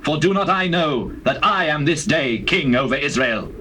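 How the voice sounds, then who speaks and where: An actor playing a grave, commanding king in dramatized scripture, with deliberate declamatory speech. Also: a little high, lively intonation, bright, forceful tone. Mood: indignant. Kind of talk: dramatized scripture, classical stage acting. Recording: noisy old cassette tape.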